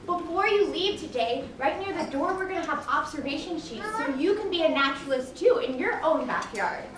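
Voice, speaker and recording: A woman's voice: high voice, expressive, fairly quick, a crowd in the background. A woman playing a friendly, instructive nature guide in a live children's puppet show. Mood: encouraging. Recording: echoey hall, distant mic, background noise.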